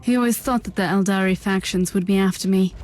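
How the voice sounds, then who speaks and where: A woman's voice: mid-range voice, steady tone, quick pace, a little mellow, lightly acted. An actress playing a defiant, bookish young woman in a video game, speaking solemnly. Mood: solemn, reflective. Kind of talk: dramatic video game acting.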